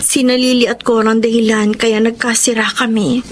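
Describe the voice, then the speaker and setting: Actress as a high-voiced, heartbroken young Filipina. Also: female voice, little pitch movement, fairly quick, slight background noise, close to an everyday voice. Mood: hurt, stubborn. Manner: emotional, earnest, pleading. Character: heartbroken, remorseful young woman pleading for her ex-boyfriend. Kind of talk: Filipino radio drama acting with letter narration.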